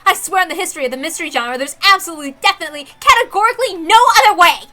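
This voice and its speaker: A brash young female detective, acted out by a woman, high and piercingly bright. She speaks with theatrical, combative emphasis, as in a visual novel drama, over background music. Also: expressive, very fast, exaggerated voice. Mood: fierce determination.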